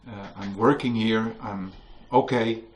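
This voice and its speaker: A man from the Netherlands with a Dutch accent, telling a story to an audience at a book talk and often pausing to search for English words. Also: fairly low pitch, steady tone, slight background noise. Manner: hesitant, searching for English words, many fillers. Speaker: author giving a book talk. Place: museum hall with a live audience.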